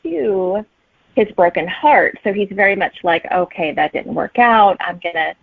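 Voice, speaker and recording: A woman with a slightly rough, husky voice talks casually as an interview guest. She sounds thin and narrow, as on a phone line, with little room echo. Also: medium pitch, lively intonation, measured pace. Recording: thin phone-like remote connection.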